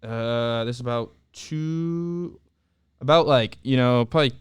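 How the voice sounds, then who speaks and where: A young man speaks candidly about his past in an easygoing interview, often pausing and restarting his sentences. His voice is smooth and clear at a middling pitch. The sound is close and dry, with no room around it. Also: no echo. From Southern California. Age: young adult. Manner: candid, halting, many fillers and restarts. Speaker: returned service missionary guest. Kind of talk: casual interview storytelling.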